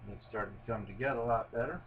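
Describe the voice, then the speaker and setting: A man's voice: mid-range voice, racing, narrow, tinny audio, a little hiss or hum. A middle-aged man rambling casually to camera as he builds a toy set at home, poking fun at his own mistakes. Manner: rambling, self-deprecating, many fillers and restarts. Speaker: hobbyist building a Lego set on video. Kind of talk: casual running commentary while building. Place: at home, on a table, with a cat nearby.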